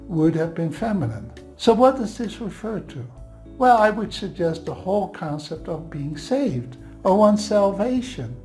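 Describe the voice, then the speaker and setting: A man's voice: fairly high pitch, darkish tone. Dutch-accented man teaching Bible grammar. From Netherlands. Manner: earnest, explanatory, reassuring asides.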